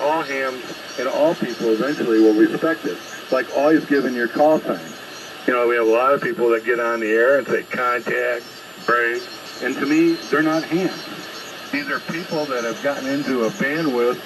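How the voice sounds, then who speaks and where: Guy on a staticky ham radio, average pitch, blunt and argumentative, telling other operators off. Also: moderate pace. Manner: blunt, confrontational, lecturing. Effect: shortwave single-sideband radio transmission. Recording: very noisy ham radio reception.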